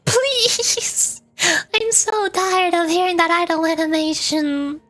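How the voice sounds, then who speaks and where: A woman chatting casually and playfully on a gaming livestream, with animated delivery. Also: very high-pitched, slow. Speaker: VTuber streamer, host. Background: background music.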